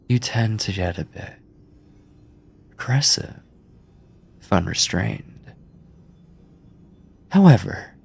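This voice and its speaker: A man playing an obsessive, controlling mad scientist in an ASMR horror roleplay, speaking deliberately with a breathy, clinical yet doting manner, often near a whisper. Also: on the low side, a little mellow, heavily acted. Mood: calm menace. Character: obsessive yandere mad scientist, soft-spoken, controlling male captor.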